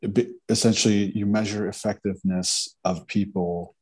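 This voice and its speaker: A man's voice: on the low side, steady tone, normal speed, slightly rough. A man hosting an interview show, upbeat and encouraging, prompting his guest with casual fillers. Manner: upbeat, encouraging, uses fillers like "you know".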